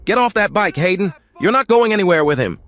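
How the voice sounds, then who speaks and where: A man voicing a big middle-aged father who orders his daughter around and shouts after her. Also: high voice, animated, a little fast, voice pushed up. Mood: shouting. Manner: loud, pleading, bossy.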